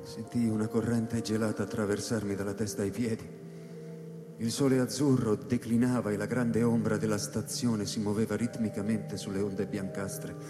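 An actor playing a haunted, lovestruck scientist who narrates a radio drama with intense, broken phrasing. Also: male voice, on the low side, flat delivery, moderate pace, close to an everyday voice. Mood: sombre, hushed. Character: haunted male scientist narrating, tormented and in love. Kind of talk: theatrical radio drama, first-person narration and dialogue. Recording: roomy, long hall reverb under music. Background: music.

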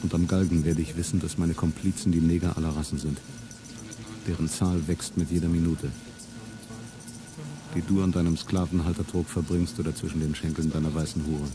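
Deep-voiced, defiant rebel played by a man. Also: little pitch movement, a little fast, noisy background, lightly acted. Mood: defiant, bitter. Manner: grave, declamatory. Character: defiant rebel man, grim, declaring war on slaveholders. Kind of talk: solemn declamatory German radio play monologue. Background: music.

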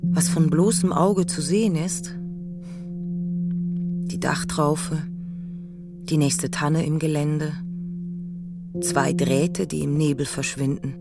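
Slow, deliberate narrator over loud music. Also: mid-range voice, steady tone, vocal fry. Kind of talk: scripted literary narration in a radio play.

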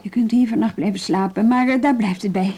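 Actress as a weary, guarded woman, mellow voice. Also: medium pitch, fairly quick, reverberant, natural voice. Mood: firm, weary. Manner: curt, firm, matter-of-fact. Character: weary, guarded but kindly adult woman, reluctant host. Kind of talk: radio drama acting, naturalistic dialogue.

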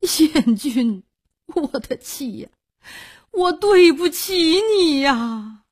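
An arrogant, besotted court clerk played by a woman narrator speaks in a very husky voice. His delivery is dramatic and emotional, with wide swings in pitch, in a storytelling style. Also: very animated, unhurried, a little mellow, full character voice. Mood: grieving.

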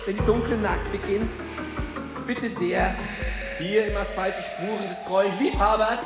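A man's voice: sits high, steady tone, measured pace, roomy sound, over music. A man playing a devoted, deluded lover in a radio drama, muttering, exclaiming and repeating himself. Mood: hopeful, agitated. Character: deluded, devoted male lover, endlessly waiting, excitable.

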